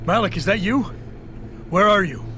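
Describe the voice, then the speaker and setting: A man's voice: high-pitched, normal speed, bright, forceful tone, a little hiss or hum. A male actor playing a terse, commanding action hero in a video game, clipped and demanding. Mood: resolute, brisk. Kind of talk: dramatic video game voice acting.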